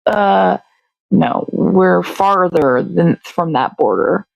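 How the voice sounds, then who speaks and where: A woman's voice: lowish voice, expressive, takes it slowly, creaky, no echo, low-quality recording. A woman hosting a morning show in casual chat, talking in a blunt, sweary, rambling way.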